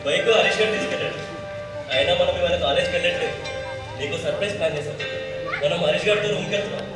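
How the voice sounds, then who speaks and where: A man's voice: a little high, racing, natural voice. A man playing a pushy, fun-loving teenage college friend in a stage play. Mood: eager. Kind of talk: amateur Telugu stage play acting. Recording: distant echoey hall with loud music.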